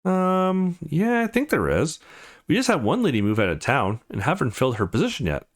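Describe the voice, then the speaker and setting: A man voicing a friendly, caring adult woman from a visual novel, chatty and gently concerned. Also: fairly high pitch, huge pitch swings, brisk, voice pushed up. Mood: helpful, curious.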